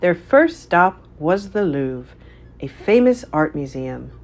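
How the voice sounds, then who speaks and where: A woman narrating a children's storybook aloud in an animated, scripted read-aloud style. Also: on the low side, slow.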